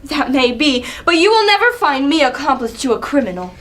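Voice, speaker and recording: A woman performs a young prince of Greek tragedy, defiant and principled. The voice is fairly high and the delivery cutting, in the style of a dramatic reading. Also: big pitch swings, fairly quick, fairly bright tone. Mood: accusing.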